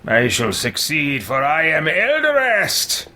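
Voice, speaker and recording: A man's voice: on the high side, huge pitch swings, slow pace, bright, forceful tone, heavily acted. A man playing an arrogant, power-hungry male mage in a game roleplay, speaking in a pompous, theatrical, declamatory way. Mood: imperious. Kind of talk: roleplayed game character dialogue.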